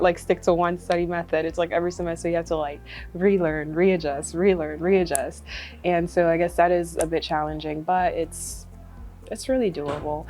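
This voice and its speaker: A woman's voice: deep voice, darkish tone. A woman in her twenties from Congo, a medical student, talking casually with many fillers and frequent laughs. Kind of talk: testimonial interview. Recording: faint music behind.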